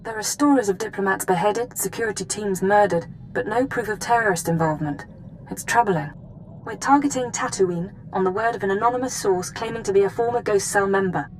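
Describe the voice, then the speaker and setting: A woman's voice: medium pitch, a little fast, a little hiss or hum, natural voice, poor audio. A woman playing a cool, businesslike intelligence officer who gives a formal, precise mission briefing in a video game.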